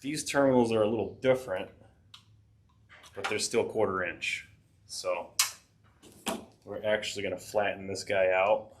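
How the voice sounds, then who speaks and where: A man's voice: on the low side, steady tone, a little slow, pauses often, slight background noise. A man hosting a DIY tech channel, talking casually through a repair with a head cold, rambling with fillers and dry asides. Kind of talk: casual DIY workshop narration. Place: home workshop.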